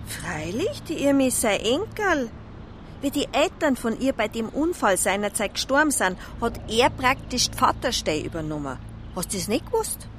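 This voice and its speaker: An actress playing a gossipy, plain-spoken village newsagent in a radio drama, speaking in a folksy Bavarian accent. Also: female voice, a little high, very animated, moderate pace, a bit bright, slight background noise. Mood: confiding. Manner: chatty, folksy. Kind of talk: naturalistic radio drama dialogue.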